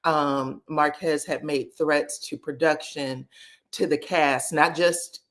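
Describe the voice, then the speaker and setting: A woman's voice: deep voice, fairly even intonation, takes it slowly. A woman, chatting casually as she hosts a livestream recap and greets viewers by name. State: slightly scratchy voice. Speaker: reality TV recap livestream host.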